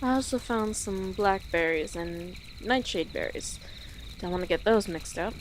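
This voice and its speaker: A woman playing a small, wry orc traveler in an immersive audio roleplay, gruff but caring, with a dry, hesitant, matter-of-fact manner. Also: medium pitch, unhurried, close to an everyday voice, rough recording. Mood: matter-of-fact, friendly. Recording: clear outdoor nature ambience noise.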